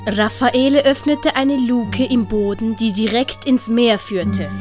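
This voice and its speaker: A woman's voice: fairly high pitch, slow pace, over music. A woman reading scripted audiobook narration as the storyteller.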